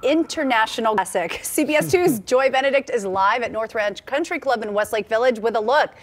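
A woman's voice: on the high side, brisk. An American woman anchoring TV news, reading a scripted introduction in a studio.

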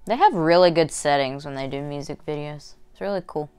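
A woman's voice: low voice, brisk, darkish tone, clear, resonant tone, faint music behind. A young adult woman, hosting a reaction video in casual, gushing, informal chat to camera. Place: small bedroom, indoors. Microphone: lapel mic.

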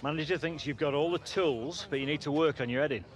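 A man playing a gruff, teasing middle-aged football coach in a video game. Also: a little high, fast talker, natural voice. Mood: firm, instructive. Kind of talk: video game cutscene dialogue.

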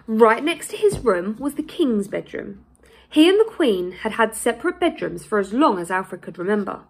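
Creaky-voiced young woman reading a storybook. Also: on the high side, big pitch swings, normal speed, slight background noise, low-quality recording. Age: young adult. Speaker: primary school teacher reading aloud. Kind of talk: children's storybook read-aloud. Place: small indoor room, plain wall.

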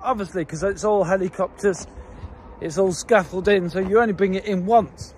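Chatty high-voiced man walking his farm. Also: steady tone, moderate pace, a little mellow, a little hiss or hum. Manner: chatty, rambling, frequent fillers and restarts. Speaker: farm owner vlogger. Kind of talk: casual walk-and-talk vlog. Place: mountain farm, mostly outdoors, partly in a wooden kitchen. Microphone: phone held at arm's length.

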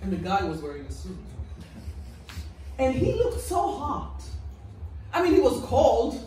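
A woman with an Israeli accent and a notably low, deep voice tells jokes in a slow, unhurried stand-up delivery. Her pitch swings widely as she plays up punchlines. The sound is roomy and echoey, picked up from a distance in a small comedy club. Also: background noise. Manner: deadpan, self-mocking delivery. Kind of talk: stand-up set. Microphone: handheld mic.

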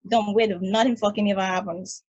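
A woman's voice: medium pitch, fairly even intonation, quick pace, a little mellow, lo-fi sound, natural voice. A woman reading a grumpy, cynical space probe in a table read over a video call, talking in a blunt, dismissive way.

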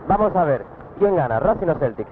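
A man with a Rioplatense accent fires short questions at passers-by, his fairly high voice bouncing up and down. The sound is tinny and narrow like an old 1960s newsreel, with street noise faintly underneath. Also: sing-song. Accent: Rioplatense Spanish. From Argentina. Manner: brisk, quick-fire questioning. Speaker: street reporter. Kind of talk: vox pop interviews. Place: city streets and harbor, outdoors. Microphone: handheld corded mic.

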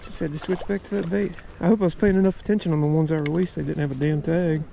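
A man with a high, creaky voice talks in a folksy, exclamatory fishing-vlog style. He is recorded outdoors with clear ambient noise around him. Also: steady tone, fast, warm tone. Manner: folksy, exclamatory, mild swearing. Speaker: fishing vlogger. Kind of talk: casual excited chat while fishing. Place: outdoors at a trout stream.

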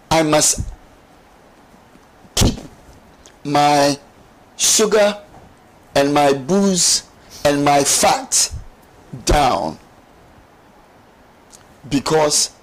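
Middle-aged man, fairly high voice, very slow pace, studio discussion, emphatic phrasing. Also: poor audio. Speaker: studio guest. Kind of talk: explanatory talk-show discussion. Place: TV studio lounge set. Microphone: lapel mic.

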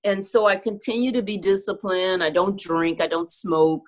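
A woman's voice: mid-range voice, normal speed. A middle-aged woman, a singer, talking candidly and reflectively in a casual video-call interview from a room at home. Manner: candid, reflective, laughs easily. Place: home room with clothing rack. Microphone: video call webcam.

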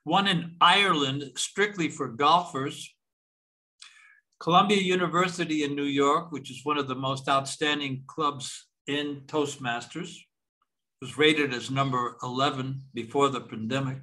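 A man's voice: fairly high pitch, steady tone, unhurried, pushed and bright, rough recording. A man over seventy gives an anecdotal impromptu speech on a video call, acting out quotes as he tells his stories. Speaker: speech contest participant.

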